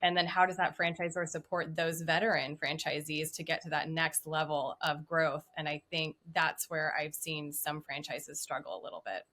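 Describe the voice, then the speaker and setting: A woman with a slightly low voice speaks on a business panel. She is thoughtful and raises her points as open questions. Her speech has a few restarts. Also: fairly even intonation, moderate pace, hardly any pauses, pushed and bright. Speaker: franchise owner panelist. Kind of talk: panel discussion.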